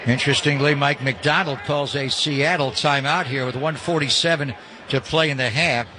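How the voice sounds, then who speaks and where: A man's voice: medium pitch, steady tone, normal speed, sharp tone. An American man calling a football game live as a radio play-by-play announcer. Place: broadcast booth at a stadium. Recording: live broadcast over clear stadium noise.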